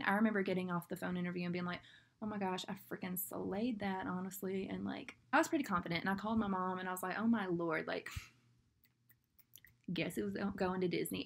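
A woman's voice: lowish voice, vocal fry. A young woman with a Southern drawl chats to her webcam, rambling along with plenty of "like"s. She is at home in a small indoor room, recorded through a laptop camera. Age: young adult. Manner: chatty, rambling, fillers like "like". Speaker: vlogger. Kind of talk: casual talk to camera.

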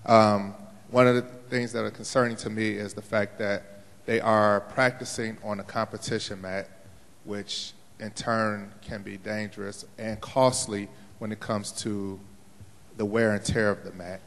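A man speaking at a school board public comment in a formal, measured way. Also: on the low side, little pitch movement, gravelly, a bit bright. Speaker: parent giving public comment. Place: large auditorium. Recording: reverberant hall.

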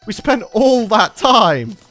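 A man's voice: high-pitched, very animated, takes it slowly, fairly bright tone, soft background music. A young man, a regular gaming channel host, chatting cheerfully through a let's play and often laughing, his voice tired from recording late at night. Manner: chatty, upbeat, laughs often, talks to viewers.

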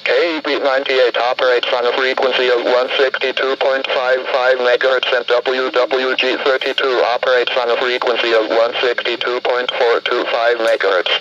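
A man's voice: medium pitch, flat delivery, moderate pace, few pauses, a bit bright. A man reading a scripted station announcement over weather radio. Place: played through a handheld radio indoors. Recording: muffled, faintly noisy radio broadcast.

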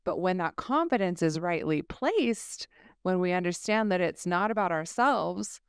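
A woman's voice: fairly low pitch, animated, a little slow, smooth, dry recording, studio quality. A middle-aged woman co-hosting a women's Bible study podcast, talking in a rambling, casual way with many fillers and restarts. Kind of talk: casual faith discussion.

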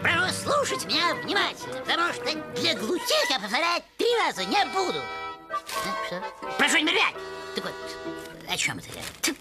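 A forgetful, cranky old man from a cartoon, greedy and hard of hearing. His very high voice sputters and swoops fussily, with music behind. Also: wildly swooping pitch, a little fast, heavily acted. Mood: pompous, cranky. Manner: fussy, sputtering. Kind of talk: animated film voice acting.

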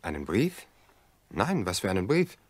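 An indignant, protective brother-in-law in a radio play. His fairly low voice argues assertively, recorded dry and close. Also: huge pitch swings, normal speed, no echo, exaggerated voice. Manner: assertive, argumentative. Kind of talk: radio drama acting.

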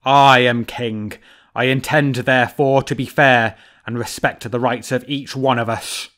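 A man voicing a stern, proud lion king who speaks in a measured, commanding way. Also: lowish voice, steady tone, natural voice.

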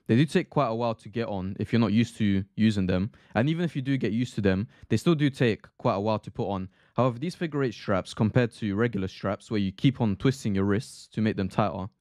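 A man's voice: on the low side, darkish tone, no room sound, studio quality. Earnest young man explaining gym training. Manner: earnest, explanatory, repeats phrases. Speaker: fitness vlogger. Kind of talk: gym vlog voice-over narration.

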